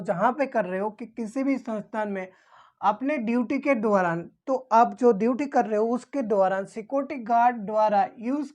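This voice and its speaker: A woman's voice: mid-range voice, darkish tone. A woman explaining things as a teacher, repeating key terms as if dictating them. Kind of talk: explanatory lesson for security guards.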